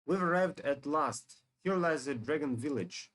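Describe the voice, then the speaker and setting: A man's voice: fairly high pitch, lively intonation, measured pace, fairly bright tone, voice pushed up. Man reading as a solemn fantasy hero. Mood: questioning. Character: earnest fantasy heroes returning to a ruined dragon village.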